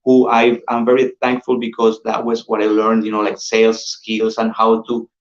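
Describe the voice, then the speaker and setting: A man with a Colombian Spanish accent, a sales manager giving an energetic, persuasive pitch and calling his listeners "guys" over and over. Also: normal speed. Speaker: insurance sales manager presenting. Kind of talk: upbeat sales pitch on a call. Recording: dull roomy lo-fi audio.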